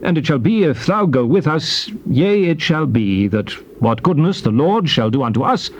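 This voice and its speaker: An actor playing an earnest, fervent Hebrew leader in a dramatized scripture recording, in a declamatory manner. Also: male voice, a little high, expressive, moderate pace, natural voice. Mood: pleading. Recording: dull tape, faint noise.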